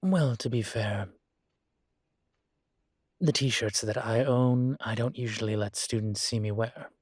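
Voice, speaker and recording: A man playing a vain, seductive yet politely awkward incubus demon professor in an intimate audio roleplay, speaking hesitantly with drawn-out phrasing. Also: medium pitch, husky, no echo, clean studio sound. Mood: sheepish. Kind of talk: intimate audio roleplay, one-sided conversation.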